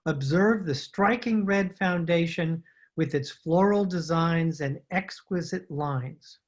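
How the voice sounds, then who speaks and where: A woman's voice: fairly low pitch, slow pace. A woman playing a thin, obsequious male rug merchant in a radio drama, speaking with formal, humble, ingratiating patter. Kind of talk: old-time radio drama acting.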